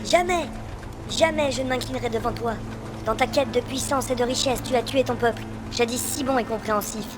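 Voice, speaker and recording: A woman's voice: on the high side, a little fast, noisy background, close to an everyday voice. A woman playing a proud, defiant heroine in a fantasy audio drama, with an earnest way of declaiming. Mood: indignant. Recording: music behind the voice. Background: music.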